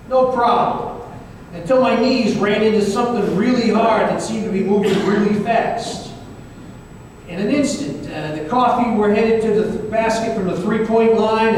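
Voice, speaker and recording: Man playing a high-voiced, weary radio detective, echoey hall. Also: darkish tone, close to an everyday voice. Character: world-weary, sardonic, paranoid male private investigator, narrating his case. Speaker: narrator and lead detective. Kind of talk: old time radio drama performance. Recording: very echoey hall, distant mic, faint noise.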